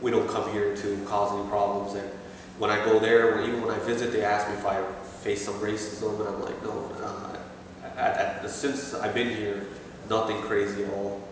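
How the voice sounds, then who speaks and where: A man in his thirties from Pakistan, answering interview questions with many pauses and restarts. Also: on the low side, level tone, recorded from a distance. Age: 30s. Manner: hesitant, many fillers and restarts. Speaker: interviewee, assistant store manager. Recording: echoey room, voice from afar, faint noise.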